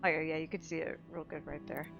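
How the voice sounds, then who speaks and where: Casual woman gamer, slightly low voice. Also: little pitch movement, fairly quick, creaky. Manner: informal, musing asides. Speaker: gameplay commentator. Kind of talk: casual game commentary.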